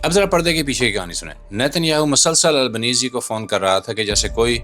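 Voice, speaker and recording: A man's voice: medium pitch, lively intonation, quick pace, a bit bright. A man delivering a scripted political commentary voice-over in a dramatic, rhetorical, emphatic manner. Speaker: video commentator and narrator. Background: music bed.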